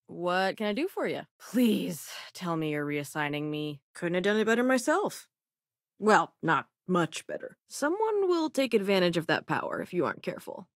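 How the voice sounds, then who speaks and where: A woman's voice: mid-range voice, dry recording, studio quality, natural voice. An actor, a young woman, voicing a clever, wry and self-assured renegade scientist who crews a spaceship in a video game.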